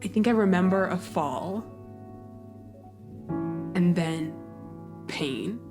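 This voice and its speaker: A woman voicing an ancient, wistful being in a roleplay, slowly and haltingly recalling its past in a hushed voice. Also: on the low side, music in the background. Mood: haunted, dazed.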